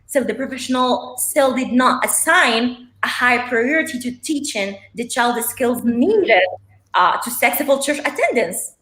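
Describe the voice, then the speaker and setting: A woman's voice: a little high, lively intonation, measured pace, sharp tone, reverberant, low-quality recording. A woman from Morocco speaking English as a second language, talking on a panel with frequent fillers and restarts. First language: not English. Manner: hesitant, many fillers and restarts. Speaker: panelist, behavior professional. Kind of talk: panel discussion.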